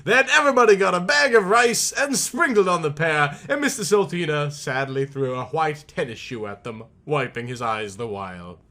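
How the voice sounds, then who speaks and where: A man narrating a storybook theatrically, with emphatic, drawn-out words and big swells and drops. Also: on the high side, expressive, bright, forceful tone. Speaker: reader of a storybook. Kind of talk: theatrical comic audiobook narration.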